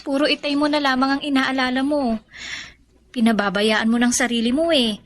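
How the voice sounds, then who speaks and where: A woman's voice: high-pitched, steady tone, fairly quick, lightly acted. A Filipino woman playing a frank, concerned young friend in a radio drama, scolding yet caring. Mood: concerned, reproachful.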